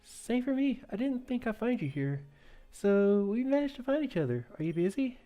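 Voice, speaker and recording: A man voicing a poised, confident young female student in a visual novel, with a pitched-up, softly proper falsetto. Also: very animated, moderate pace, soft background music. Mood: pleased.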